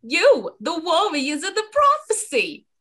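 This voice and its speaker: A woman's voice: very high-pitched, huge pitch swings, unhurried, sharp tone, heavily acted. A woman voicing a solemn, grand female rebel captain with broad, sing-song delivery in an amateur script reading.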